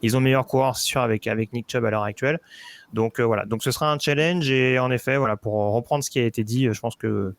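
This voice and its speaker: A man with a smooth, resonant voice breaks down football games on a podcast. He talks in a relaxed way but keeps hesitating and restarting his sentences. The sound is dry and close to the mic. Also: mid-range voice, quick pace, no room sound. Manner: hesitant, many fillers and restarts. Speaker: football analyst. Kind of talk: casual podcast analysis.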